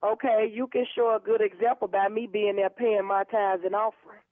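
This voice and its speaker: A woman's voice: mid-range voice, level tone, brisk, dry recording. Indignant woman on a tinny phone line. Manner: firm, indignant, emphatic. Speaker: prank call target, church member. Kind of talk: phone conversation. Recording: narrow tinny telephone line.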